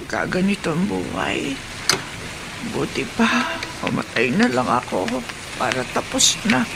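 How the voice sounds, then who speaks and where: A weary, slow-talking homeless aunt. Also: female voice, medium pitch, very animated, creaky. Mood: weary, despairing. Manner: halting, plaintive, sighing delivery. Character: sick, weary homeless aunt, despairing and remorseful. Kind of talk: radio drama acting. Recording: noisy street-like ambience behind voice.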